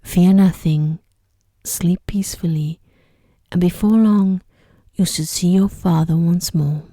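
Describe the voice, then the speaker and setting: A woman voicing a sorrowful, devoted male beast in a mournful, hushed ASMR storybook reading. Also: on the low side, fairly even intonation, takes it slowly, no room sound, clean studio sound. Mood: solemn, tender.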